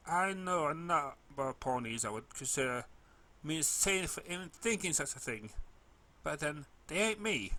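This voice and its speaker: Bright-voiced man narrating a story. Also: mid-range voice. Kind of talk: expressive first-person fan fiction narration.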